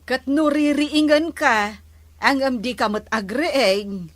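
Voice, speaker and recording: A woman's voice: high-pitched, takes it slowly, no room sound. A woman with an Ilocano Filipino accent playing a nagging village captain's wife in an amateur comedy skit.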